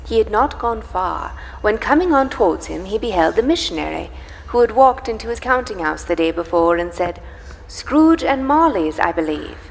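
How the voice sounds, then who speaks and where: A woman playing the narrator of a live radio play, telling a storybook tale with theatrical, expressive emphasis. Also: mid-range voice, moderate pace, vocal fry, a little hiss or hum. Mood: storytelling. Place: large church, live performance. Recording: echoey church hall, music behind.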